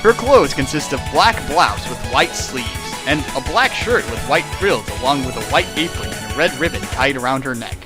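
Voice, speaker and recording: A man's voice: medium pitch, expressive, normal speed, hardly any pauses, over loud music. A young man doing a comedic dramatic reading of a fan fiction, jokey and sarcastic, laughing between lines. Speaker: reader and riffer of a fan fiction.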